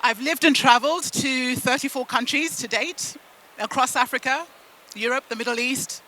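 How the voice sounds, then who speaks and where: A woman from the UK and Zimbabwe, a native Shona speaker, giving a motivational storytelling talk with deliberate phrasing and emphatic pauses. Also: high-pitched, bright, forceful tone, a little hiss or hum, poor audio. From United Kingdom and Zimbabwe. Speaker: keynote speaker at a business event.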